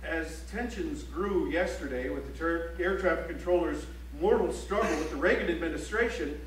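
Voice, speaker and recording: An actor playing a serious newspaper reporter, reading news reports theatrically on an auditorium stage. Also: male voice, high voice. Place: library auditorium stage, live play. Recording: echoey auditorium from far off, faint hum.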